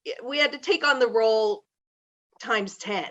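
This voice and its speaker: Higher-pitched woman chatting. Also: normal speed, a bit bright, muffled recording. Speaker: interview guest, band member. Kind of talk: casual chat.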